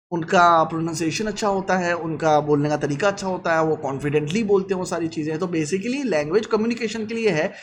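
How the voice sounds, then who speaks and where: Blunt high-voiced man. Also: slight background noise. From Lucknow, India. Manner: blunt, lecturing, mixes English phrases into Hindi. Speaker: vlogger. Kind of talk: casual advice monologue.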